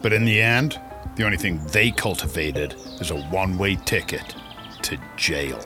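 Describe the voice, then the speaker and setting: A man playing a wry, hard-boiled police detective, deadpan in a comic radio mystery. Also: sits low, moderate pace, over music, voice pushed down, close to an everyday voice. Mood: wry, grave.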